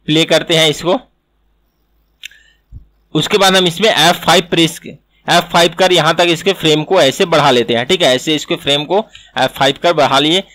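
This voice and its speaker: A man's voice: on the high side, fairly even intonation, normal speed, pushed and bright. A man teaching animation in a screen-recorded tutorial, explaining step by step and repeating himself.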